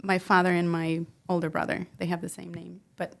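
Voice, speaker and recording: A woman's voice: lowish voice, little pitch movement, brisk, creaky. A woman from Mexico with a light Spanish accent, a guest on a podcast, chatting casually with frequent laughs and restarts. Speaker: guest entrepreneur. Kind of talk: casual interview chat. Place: podcast studio.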